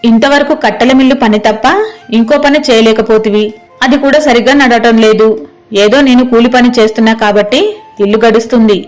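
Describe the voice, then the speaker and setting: Lady doing a fed-up village wife, nagging and practical, highish voice, soft music behind. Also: steady tone, fairly quick, natural voice. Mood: exasperated. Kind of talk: acted Telugu moral story.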